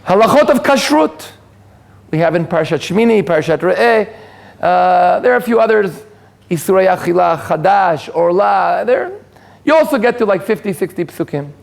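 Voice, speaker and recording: A man's voice: high voice, animated, normal speed, vocal fry. A man with an Israeli accent, a native Hebrew speaker, giving an informal lecture to a live audience with joking asides and frequent restarts. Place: community hall with live audience.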